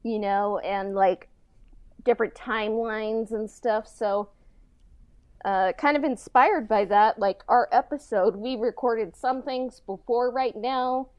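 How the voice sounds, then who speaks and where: A woman co-hosting a podcast in casual, halting chat peppered with filler words like "like" and "kinda". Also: a little high, steady tone, a little mellow, no echo, lo-fi sound. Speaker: podcast co-host and audio drama writer.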